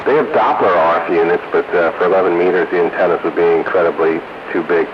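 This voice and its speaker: A man's voice: deep voice, fast. A man chatting casually as a hobbyist over a CB radio transmission. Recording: muffled radio with clear hiss.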